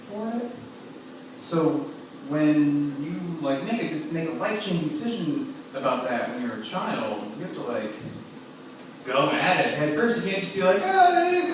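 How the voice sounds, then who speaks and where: A young man doing a stand-up comedy set in a club, rambling and self-mocking, full of filler words and swearing. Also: fairly high pitch. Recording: echoey room recorded from a distance with noise.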